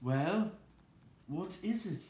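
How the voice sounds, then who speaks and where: A man's voice: high-pitched, animated, extremely slow, darkish tone, pitched above the performer's own voice, full character voice. A man voicing a small, flustered but plucky hobbit in a storybook reading, with an exclamatory delivery. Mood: impatient. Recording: roomy echo, noticeable background noise.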